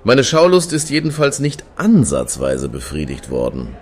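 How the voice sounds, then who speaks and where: Deep-voiced dismissive night owl. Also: male voice, wildly swooping pitch, moderate pace, slightly rough, heavily acted. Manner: dry, dismissive. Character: gruff, unimpressed male Berlin night owl. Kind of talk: radio play acting.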